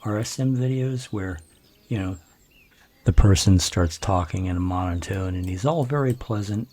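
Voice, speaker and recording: An older man with a naturally low voice, hosting a gameplay video and musing to himself in unhurried, relaxed commentary as he builds. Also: slight background noise. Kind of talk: relaxed let's-play commentary.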